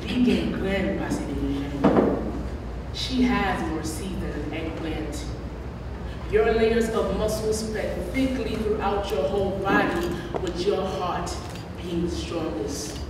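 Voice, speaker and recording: A young woman reciting poetry live at a slam, with deliberate, dramatic delivery and emphatic pauses. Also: lowish voice. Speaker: spoken-word poet. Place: large room at a college, on stage at a podium. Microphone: microphones on stands at a podium. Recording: echoey hall, distant mic, background noise.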